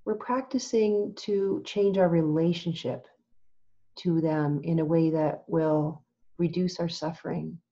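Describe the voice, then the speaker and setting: A woman teaching meditation in a calm, gentle, measured way, leaving long pauses as she gives instructions. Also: deep voice, lo-fi sound.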